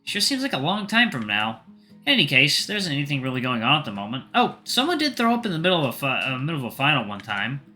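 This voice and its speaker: Hesitant twenty-something student daughter played by a man, lifted pitch, bright tone, slightly defensive, audio drama. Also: sing-song, fast talker, faint music behind, exaggerated voice. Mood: awkward. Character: awkward young woman, 23-year-old medical student daughter.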